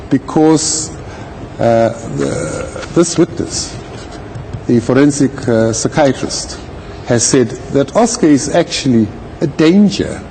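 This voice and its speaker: Male legal pundit for live interview: formal, deliberate phrasing, varied pitch, soft mellow tone. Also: a little hiss or hum, low-quality recording. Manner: measured, deliberate, formal wording. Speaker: legal commentator, retired judge. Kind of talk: live interview commentary on a court case.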